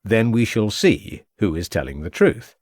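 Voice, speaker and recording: A man voicing a shrewd male police inspector who questions in a measured, pointed way. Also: on the low side, sing-song, a little mellow, dry recording. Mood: stern.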